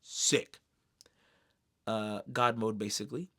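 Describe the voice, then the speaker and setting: A man giving casual, excited fan commentary in a slangy, expressive way, throwing in exclamations. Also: lowish voice, takes it slowly. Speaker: streamer reviewing an animated series.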